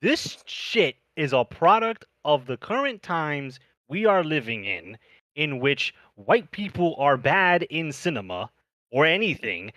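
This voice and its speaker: A man's voice: on the high side, big pitch swings, measured pace, bright, forceful tone, dry recording. A man co-hosting a podcast, ranting in an opinionated, sarcastic and emphatic way. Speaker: podcast host. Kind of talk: opinionated panel discussion.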